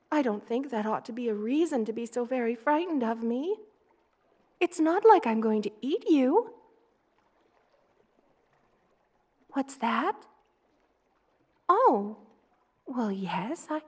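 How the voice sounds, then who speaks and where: A woman voicing a gentle, doting spider queen in an intimate ASMR roleplay, soothing and tender in manner. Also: high-pitched, full character voice. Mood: gently amused, explaining, then startled, flustered. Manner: soothing, tender, coaxing, with slow careful delivery.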